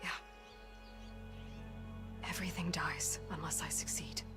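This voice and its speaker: A woman's voice: sits low, fairly even intonation, a little slow, whispered, music in the background. Guarded young warrior woman, level and earnest. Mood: earnest, apologetic. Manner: earnest, restrained, often hushed. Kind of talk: video game cutscene acting.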